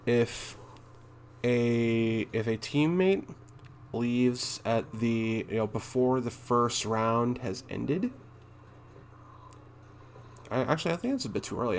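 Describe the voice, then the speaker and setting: A man with a mid-pitched voice gives casual gaming commentary, reading notes aloud and adding his own take. His delivery is halting and unpolished, blunt in places. There is faint background noise on the recording. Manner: halting, hesitant, thinking aloud. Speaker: gaming content creator and streamer.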